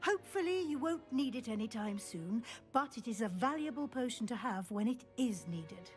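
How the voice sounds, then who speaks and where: A woman's voice: on the high side, lively intonation, soft background music, natural voice. Woman acting a stern older female magic professor. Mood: formal, probing. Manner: formal, measured, dry. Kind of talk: video game cutscene acting.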